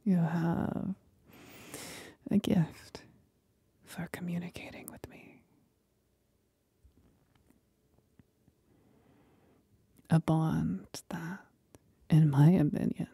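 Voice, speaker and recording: Seductive demon for ASMR roleplay, played by a woman: husky breathy whisper, creaky low edge, manipulative and mocking. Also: steady tone, warm tone, no room sound, heavily acted. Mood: coy, knowing. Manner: slow, breathy whispering with deliberate pauses.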